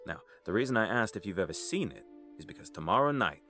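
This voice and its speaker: A man's voice: on the low side, very animated, fast, bright, forceful tone, soft background music, full character voice. A man playing an easygoing, joking uncle figure in an audio drama. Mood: warm, encouraging. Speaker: voice actor.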